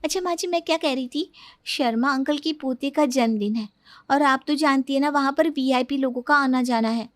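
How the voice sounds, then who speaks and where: A woman's voice: high voice, normal speed, no room sound, lightly acted. A woman voicing a sly, sweet-talking younger daughter-in-law in a cartoon story, coaxing and self-assured. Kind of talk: Hindi cartoon story dialogue.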